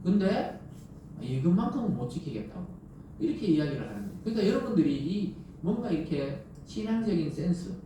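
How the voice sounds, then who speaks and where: A man's voice: sits high, very animated, brisk, dark tone. A man with a Gyeongsang Korean accent preaching a conversational sermon as a pastor, explaining with rhetorical questions and everyday dialogue. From South Korea. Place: church sanctuary during Sunday service. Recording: echoey church, distant mic, faint noise.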